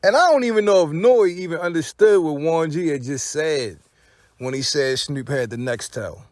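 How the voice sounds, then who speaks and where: A man's voice: a little high, normal speed, no echo, studio quality. An American man hosting casual gossip commentary, speaking in profane slang and posing rhetorical questions. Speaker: commentary channel host.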